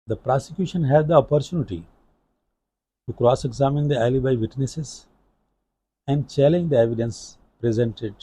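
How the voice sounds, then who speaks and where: Pakistani man lecturing, dark husky voice. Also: mid-range voice. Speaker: law lecturer. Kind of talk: legal lecture, explanatory.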